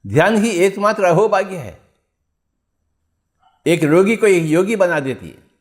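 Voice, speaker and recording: A man's voice: sits high, expressive, unhurried. A man teaching meditation in an exhorting spiritual discourse, repeating his phrases and posing rhetorical questions.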